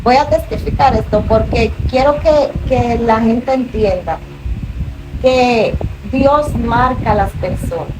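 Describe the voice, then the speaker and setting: A woman's voice: fairly high pitch, slow pace. Dominican woman giving an earnest testimony. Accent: Dominican Spanish. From Dominican Republic. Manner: earnest storytelling, rising with emotion. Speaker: minister, interview guest. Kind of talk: testimony interview. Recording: distant mic, noisy room.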